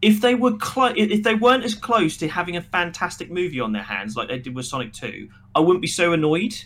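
A man with a slightly high-pitched voice talks as a podcast co-host. His review chat is casual and opinionated. He makes his points bluntly and with emphasis, in a critical way. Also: lively intonation, fairly quick, fairly bright tone.

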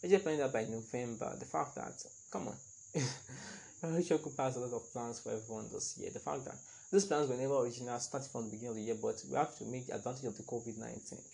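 A man's voice: medium pitch, fast talker, gravelly, a little mellow, rough recording. A young man hosting a casual solo podcast, chatty and rambling, laughing at his own remarks. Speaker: podcast host.

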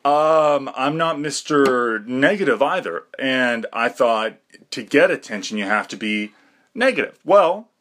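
A man's voice: medium pitch, animated, a little slow, a bit bright. A young man talking through a rambling, self-deprecating podcast monologue, full of fillers and restarts. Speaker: podcast host.

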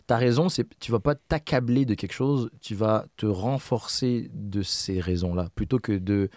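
A man with a Québécois French accent, co-hosting a casual podcast chat, reflective and self-critical and quick to laugh. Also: lowish voice, darkish tone, dry recording, studio quality. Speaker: podcast co-host.

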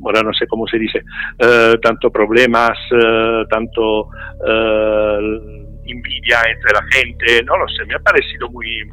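A middle-aged Italian man speaking Spanish with an Italian accent, talking in a radio interview with frequent pauses and fillers. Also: on the low side, flat delivery, bright, forceful tone, soft background music. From Italy. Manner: hesitant, many fillers and restarts. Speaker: interview guest.

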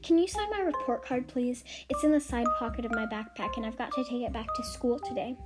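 A woman voicing a shy ten-year-old girl witch who loves baking, speaking earnestly and softly. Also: on the high side, brisk, music in the background, close to an everyday voice. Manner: earnest, pleading, soft-spoken.

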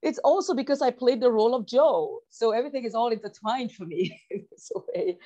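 A woman from Cameroon talking reflectively as an interview guest, halting and repeating phrases as she searches for her words. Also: on the high side, warm tone, muffled recording. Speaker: interview guest, writer and actor.

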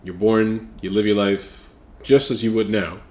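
A man's voice: lowish voice, little pitch movement, a little slow, darkish tone. A man interviewing someone in an informal, reassuring way, asking questions in a casual chat. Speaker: podcast interviewer.

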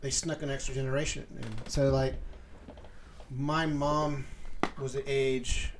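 A middle-aged man in casual podcast chat, teasing and cutting in with quick remarks. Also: medium pitch, little pitch movement. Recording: noisy background.

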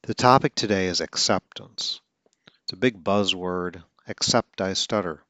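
A man's voice: low voice, a little slow, sharp tone, no room sound. A man from Atlanta, Georgia, speaking as a speech coach in a casual, earnest way, with occasional stutters and self-corrections. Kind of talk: casual talk.

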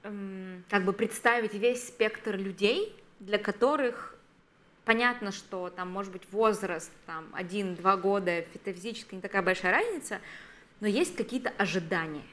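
A woman of thirty co-hosting a podcast in casual chat, lively and acting out quotes. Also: normal speed. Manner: lively, acts out quotes in a high voice. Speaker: podcast co-host.

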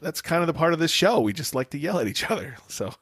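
Fast-talking American man, dry close sound. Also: fairly high pitch, big pitch swings, a bit bright, husky, no echo. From United States, Wisconsin. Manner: teasing, irreverent. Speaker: podcast co-host. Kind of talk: casual bantering podcast talk.

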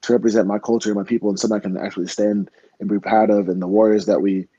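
Fast-talking earnest young man, low dark voice. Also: flat delivery, breathy edge, lo-fi sound. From United States. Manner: earnest, rambling with many restarts and "man". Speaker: professional fighter. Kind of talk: casual interview talk.

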